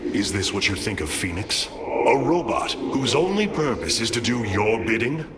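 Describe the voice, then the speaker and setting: An actor playing a noble, resolute alien leader in a video game, speaking in a commanding, stately and formal manner. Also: male voice, fairly low pitch, big pitch swings, moderate pace, very noisy. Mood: firm conviction. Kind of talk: dramatic video game acting.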